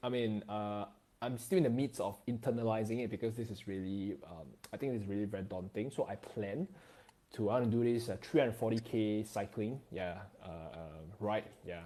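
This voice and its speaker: Man, Singlish accent, warm mellow voice, hesitant, lots of fillers, casual chat. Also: on the low side. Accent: Singaporean, Singlish. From Singapore. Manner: hesitant, many fillers and restarts, ends phrases with "lah". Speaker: co-host guest. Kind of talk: casual live stream chat.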